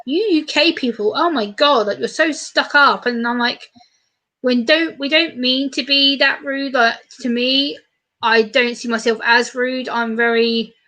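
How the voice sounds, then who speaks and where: Bright-voiced fast-talking British woman. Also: high voice. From United Kingdom. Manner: fast, animated, chatty. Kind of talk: casual live-stream chat.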